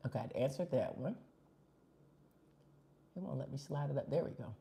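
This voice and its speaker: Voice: mid-range voice, expressive, normal speed, creaky, warm tone, slightly rough. A live-stream host chatting casually between bites of food, sniffling. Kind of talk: casual live-stream chat while eating.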